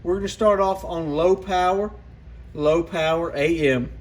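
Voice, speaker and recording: A man's voice: a little high, a little slow. A man hosting a casual hands-on product walkaround, talking in a plainspoken, informal, explanatory way. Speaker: product reviewer and channel host.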